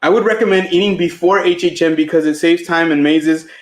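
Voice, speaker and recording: A man co-hosts a live podcast in a loose, rambling way, full of fillers and restarts. His family is Mexican and Spanish was his first language. His voice sits a little high, and it echoes in the room around him. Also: fairly even intonation, a little fast. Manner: chatty, filler-heavy, restarts often. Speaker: podcast co-host.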